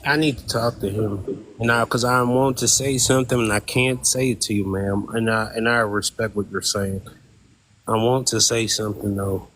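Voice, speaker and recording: A man's voice: on the low side, fairly even intonation, normal speed, fairly bright tone, a little hiss or hum, low-quality recording. A man moderating a live-stream discussion, talking hesitantly with many restarts and a deferential tone. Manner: hesitant, repeats words, deferential.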